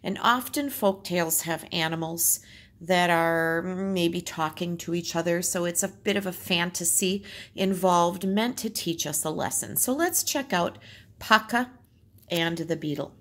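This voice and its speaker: A woman's voice: lowish voice, a little slow, a bit bright. A woman teacher reading aloud and explaining in simple phrases, as in a storytime lesson.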